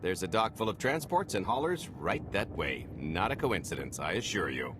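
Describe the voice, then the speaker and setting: A man's voice: deep voice, very animated, moderate pace, noisy background. A man playing a cocky male smuggler with wry, sardonic banter in a video game cutscene. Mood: smug.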